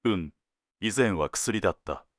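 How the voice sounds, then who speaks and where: A man playing a world-weary senior detective in an audio drama, laconic and deliberate, given to dry teasing jokes. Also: low voice, expressive, no room sound. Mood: wry, businesslike. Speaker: police detective at headquarters.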